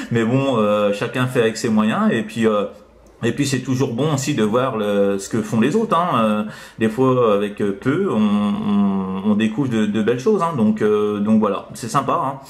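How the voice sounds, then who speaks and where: A man's voice: on the low side, expressive, measured pace. A man chatting casually as an amateur radio operator, hesitant and rambling, often tagging on "hein". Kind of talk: casual radio contact chat. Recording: roomy, echoing room.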